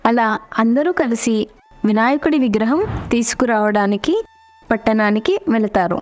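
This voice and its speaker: A woman's voice: on the high side, steady tone, moderate pace, a little mellow, faint music behind. A woman narrator reading a scripted story.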